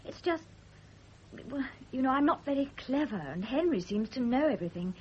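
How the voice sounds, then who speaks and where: A woman's voice: high voice, expressive, moderate pace, a little hiss or hum. A woman playing a naive young British wife in a radio drama, with a girlish, eager manner. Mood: earnest. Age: young adult. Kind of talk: 1970s radio drama acting. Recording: dull lo-fi old radio recording.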